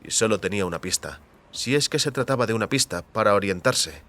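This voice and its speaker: A man narrating scripted audio fiction as a storyteller, in a measured, dramatic way. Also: lowish voice, expressive, bright, forceful tone.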